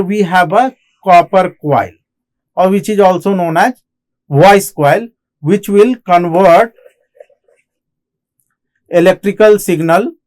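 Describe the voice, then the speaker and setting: A man with an Indian accent giving a technical lecture as an instructor, explaining in halting phrases and often repeating himself. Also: sits high. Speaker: engineering lecturer. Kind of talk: classroom lecture on technical material.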